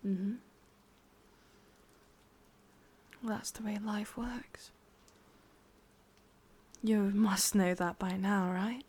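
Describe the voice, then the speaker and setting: A woman's voice: mid-range voice, fairly even intonation. Woman acting a whispering, possessive English witch. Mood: cold, possessive. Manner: slow, breathy, hushed coaxing with pauses. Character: sadistic, possessive female witch, coaxing and merciless. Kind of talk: ASMR whispered roleplay.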